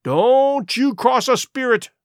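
A man voicing a superstitious, excitable pirate deckhand in a storybook, exclaiming in rough seafaring speech. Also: sits high, unhurried, dry recording, clean studio sound, heavily acted. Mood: fearful warning.

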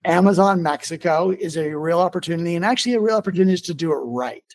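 A man's voice: fairly high pitch, quick pace, darkish tone. A man hosting a casual podcast interview, talking informally and rambling a little, with "like" sprinkled through his sentences. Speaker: podcast host and business owner.

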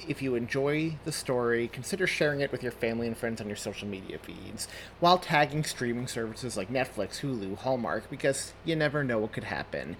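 A man's voice: medium pitch, a little hiss or hum. A man speaking as a podcast host in a scripted, effusive and thankful address to listeners. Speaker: podcast host and story author.

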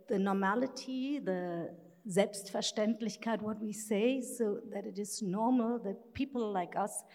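A woman with a German accent speaking as a panel guest, deliberate and firm with small laughs. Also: medium pitch, darkish tone. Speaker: panel guest, cultural policy worker. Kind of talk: panel talk. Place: live event hall with audience. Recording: reverberant hall.

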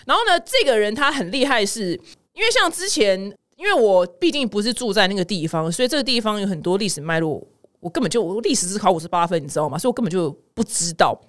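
A woman's voice: mid-range voice, fast. A woman with a Taiwanese Mandarin accent giving casual, chatty news commentary as a podcast host, acting out the people she quotes. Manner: chatty, animated retelling with acted-out quotes.

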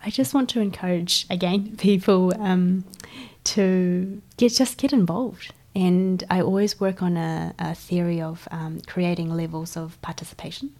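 Soft breathy woman with gravelly fry. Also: fairly low pitch, fairly even intonation, measured pace, hardly any pauses. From China. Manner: hesitant, many ums and restarts, soft breathy delivery. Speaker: interview guest. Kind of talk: casual interview conversation.

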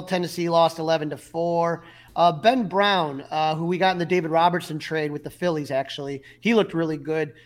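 A man co-hosting a sports podcast, telling stories and recapping games in a loose, chatty way with lots of fillers. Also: a little high. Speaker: podcast co-host. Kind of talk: casual sports chat.

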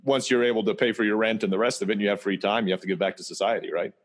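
Man, grew up in Turkey, low voice, rapid pace, conversational storytelling. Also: steady tone. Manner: conversational, fast, repeats words when restarting. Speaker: interview guest. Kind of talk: storytelling personal history.

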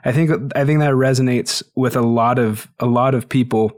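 A man's voice: medium pitch, steady tone, normal speed. Encouraging male podcast host. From Utah and Idaho, US. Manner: encouraging, thinks aloud with restarts. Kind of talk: casual podcast conversation.

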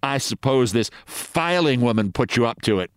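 A man voicing a sharp-tongued middle-aged wife who scolds and mocks her husband. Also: on the high side, animated, normal speed, no echo, voice pushed up, lightly acted. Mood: scornful. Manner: scolding, sarcastic.

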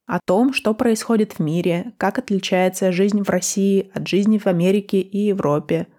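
A young woman from Russia hosting a podcast for learners, speaking calmly and clearly in a friendly, encouraging way. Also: medium pitch. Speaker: podcast host, Russian teacher. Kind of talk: slow, clear podcast talk for language learners.

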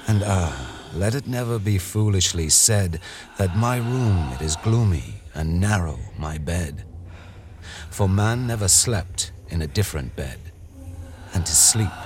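A man reads poetry as a dramatic recitation, in a measured, solemn manner with deliberate phrasing. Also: extremely low pitch, noisy background. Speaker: poetry reader. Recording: clear ambient sound bed behind voice. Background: ambient sound design.

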